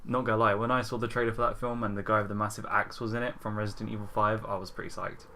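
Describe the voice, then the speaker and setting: A man's voice: on the low side, little pitch movement, very fast, sharp tone, a little hiss or hum. A man hosting a podcast, chatting casually about films and games in an informal, sweary way. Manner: informal, frequently chuckling, sweary. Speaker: podcast host. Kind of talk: casual film and game chat.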